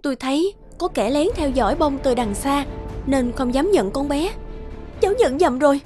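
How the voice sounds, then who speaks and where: A woman's voice: sits high, expressive, normal speed. A woman voicing an anxious, loving young mother in a cartoon, earnest and emotional. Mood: explaining. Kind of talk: cartoon dubbing. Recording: music behind the voice.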